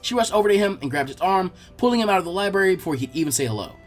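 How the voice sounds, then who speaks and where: Casting: male narrator for fan fiction, slightly high voice, lively intonation, light music bed. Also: racing.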